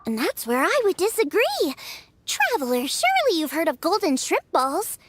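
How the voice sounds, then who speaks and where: A woman voicing a tiny, excitable girl companion in a video game, who talks about herself in the third person. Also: very high voice, normal speed, no room sound, heavily acted. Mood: chirpy.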